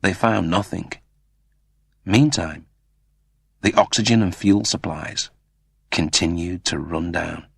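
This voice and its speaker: A man's voice: sits low, slow, gravelly, long pauses, fairly bright tone, breathy edge, dry recording, clean studio sound. A man reading a story as a narrator, in a dramatic scripted style. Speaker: audiobook narrator.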